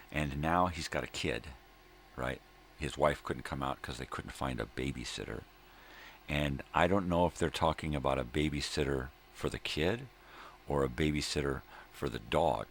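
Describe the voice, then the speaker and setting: An extremely low-voiced, gravelly middle-aged man talks casually to his vlog audience. He meanders, stops and restarts, repeats phrases and grumbles his opinions with the odd swear word. There is clear noise behind him. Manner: halting, meandering, repeats himself. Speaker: host of a daily morning video log. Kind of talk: rambling casual solo chat. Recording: noticeable background noise.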